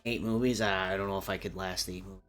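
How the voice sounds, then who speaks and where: A man's voice: low voice, fairly even intonation, fast talker, a bit bright. A man talking in a casual, slangy way as co-host of a livestreamed round table, swearing now and then. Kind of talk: casual group chat on a livestream. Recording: livestream with music underneath.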